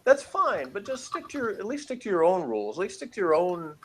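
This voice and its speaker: Man, slightly high voice, rambling exasperated review, emphatic, casual, faint background noise. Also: very animated, fairly quick, a bit bright. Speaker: podcast review co-host. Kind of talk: casual opinionated review rant.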